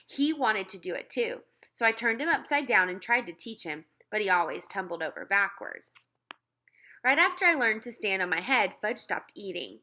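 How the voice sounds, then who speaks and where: A woman narrating a children's novel as an expressive read-aloud. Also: medium pitch.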